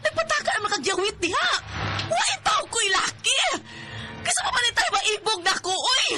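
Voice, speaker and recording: An actress playing an anguished, pleading young wife in a radio drama, with a Cebuano Filipino accent and an emotional delivery. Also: female voice, very high voice, big pitch swings, moderate pace. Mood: distraught. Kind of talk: Philippine radio drama acting. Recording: music bed under dramatized dialogue.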